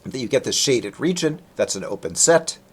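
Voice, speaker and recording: Male lecturer, informal and conversational, animated intonation, explains technical material through questions and answers. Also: mid-range voice, moderate pace, slightly rough. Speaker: lecturer, researcher presenting.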